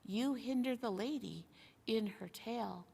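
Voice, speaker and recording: A woman voicing a courtly, softly spoken young nobleman in a storybook, formal and polite. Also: fairly high pitch, unhurried, vocal fry, husky, exaggerated voice. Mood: courteous. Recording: long hall-like reverb.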